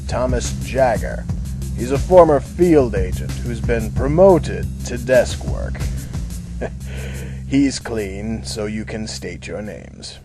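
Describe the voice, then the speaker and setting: A man acts out a proud, composed commander in an audio drama. His voice sits low and moves widely in pitch, measured and sure of itself. Music plays clearly behind him. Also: expressive. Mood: assured, explanatory. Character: proud, composed male project chief showing off his elite unit. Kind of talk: amateur audio drama acting.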